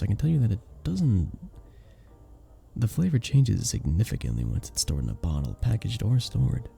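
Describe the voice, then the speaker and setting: A man voicing a sweet, earnest vampire bartender in a romantic ASMR roleplay, soft-spoken and flirtatious, with hesitant little chuckles. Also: lowish voice, creaky, full character voice. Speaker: audio roleplay performer. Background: soft background music.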